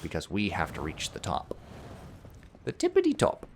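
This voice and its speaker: Low pitch, man, slightly hoarse, casual game commentary, step-by-step explaining, faint noise. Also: huge pitch swings, moderate pace. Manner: explains puzzles step by step, joking. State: a little hoarse. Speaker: let's play commentator.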